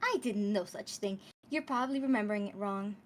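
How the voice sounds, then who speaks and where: A woman's voice: medium pitch, a little fast, lightly acted. A woman playing a chirpy but testy, stubborn tour guide with dismissive presenter patter, in a comedy table read. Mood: testy, defensive. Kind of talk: live table read of a comedy radio show.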